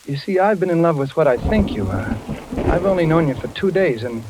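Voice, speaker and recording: A man's voice: on the high side, animated, a little fast, close to an everyday voice. An American man playing a determined, suspicious but tender engineer in danger, in the style of 1940s radio suspense. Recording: noisy old radio recording with crackle.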